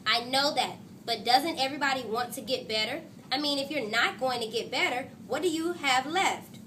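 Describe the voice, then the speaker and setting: A woman's voice: high-pitched, normal speed, pushed and bright, a little hiss or hum. A woman voicing a confident, competitive schoolgirl who loves sports, insistent and boastful, in a storybook reading. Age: child. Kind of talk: storybook character read aloud.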